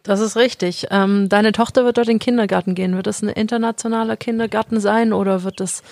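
A man's voice: sits high, fairly even intonation, fast, gravelly, no echo. A man interviewing a guest in a friendly, chatty manner. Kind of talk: casual interview.

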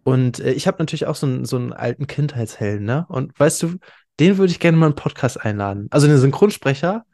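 A man's voice: medium pitch, lively intonation, fast, darkish tone. A man hosting a podcast in casual chat, talking enthusiastically in colloquial, youthful slang. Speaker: podcast host.

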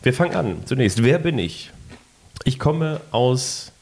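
A man's voice: medium pitch, lively intonation, a little slow, gravelly, darkish tone. A man co-hosting a casual football podcast, playfully reading out quiz clues and joking with his partner. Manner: playful, reads quiz clues in first person with puns. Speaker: podcast co-host.

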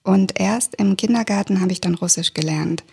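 A woman from Kazakhstan hosting a podcast interview, speaking thoughtfully and reading her introductions smoothly, recorded in a room at a language institute. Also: medium pitch, moderate pace, darkish tone. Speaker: podcast host.

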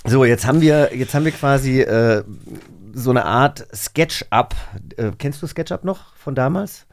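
A male host talks in a loose, casual chat style. His voice sits at a medium pitch and sounds conversational throughout. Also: measured pace.

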